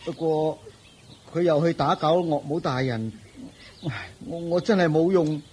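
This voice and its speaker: An actor playing a poor, timid, henpecked husband in a radio drama, pleading and stammering in a Hong Kong Cantonese accent. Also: male voice, on the high side, measured pace, a little mellow, slight background noise, lightly acted. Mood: meek, resigned.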